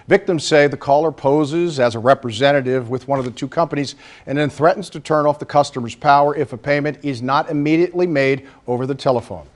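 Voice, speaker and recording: An American man reading a scripted local television newscast as the anchor. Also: fairly high pitch. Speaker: TV news anchor. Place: TV news studio.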